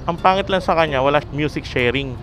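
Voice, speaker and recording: A man's voice: a little high, a little fast, music in the background, low-quality recording. A man, a motorcycle taxi rider and vlogger, chatting casually through a helmet intercom as he rides. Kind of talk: casual chat with a passenger while riding. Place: outdoors on a motorcycle in traffic. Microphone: helmet headset intercom.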